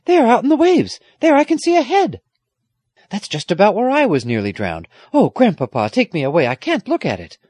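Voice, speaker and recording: Storybook young girl, excitable and tender, played by a male reader; raised pitch, very animated. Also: brisk, few pauses, dry recording. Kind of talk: audiobook character acting.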